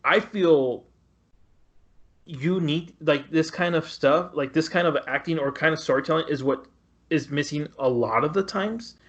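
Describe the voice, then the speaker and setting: A man's voice: on the high side, unhurried, lo-fi sound. A man co-hosting a film podcast, talking casually in a rambling, stop-start way. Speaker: podcast co-host.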